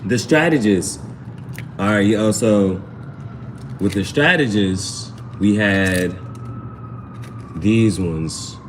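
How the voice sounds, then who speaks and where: A man's voice: on the low side, drawn-out words, long pauses, slight background noise. A man hosting a casual live tarot reading, chatting to viewers in slangy speech and often repeating his phrases. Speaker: tarot reader, livestream host.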